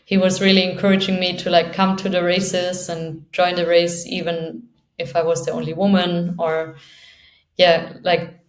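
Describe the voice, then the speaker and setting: A woman's voice: lowish voice, little pitch movement, moderate pace, roomy sound. A young woman from Austria with an Austrian accent, an athlete talking casually in an interview and laughing often between phrases. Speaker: guest, downhill skateboarder.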